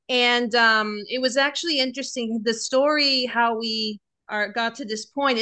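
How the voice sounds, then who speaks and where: Bright-voiced nun telling a story. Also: female voice, a little high. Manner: animated, quick, many fillers. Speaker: guest nun. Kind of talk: casual storytelling. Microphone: video call.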